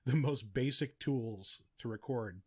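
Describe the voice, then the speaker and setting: A man's voice: mid-range voice, unhurried, darkish tone, slightly rough. Male podcast guest, middle register, conversational and chatty, offers practical advice in a loose, meandering way. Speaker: podcast guest, audio drama writer and producer. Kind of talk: casual interview chat, giving practical advice.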